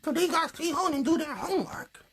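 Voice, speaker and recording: A man voicing a sassy young girl puppet with cheeky, deadpan comebacks in a puppet show. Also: extremely high pitch, fast talker, voice pushed up. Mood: chatty.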